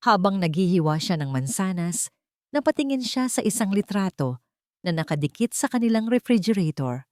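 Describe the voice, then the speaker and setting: A woman's voice: mid-range voice, sing-song, normal speed, darkish tone, dry recording, studio quality. A woman reading a scripted dramatic story with suspenseful, emphatic delivery.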